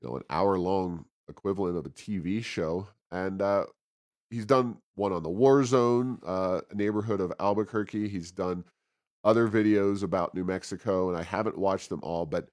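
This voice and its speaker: A man with a deep voice co-hosts a podcast in a very dry-sounding recording. He moves through commentary and announcements casually, in a conversational, somewhat halting way. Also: a little mellow, no echo. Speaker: podcast co-host.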